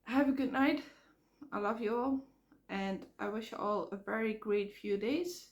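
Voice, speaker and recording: Woman with a cracking, cold-ridden voice. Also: medium pitch. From Netherlands. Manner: frank, halting, repeats words. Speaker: vlogger. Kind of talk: casual rambling vlog. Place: outdoors near traffic.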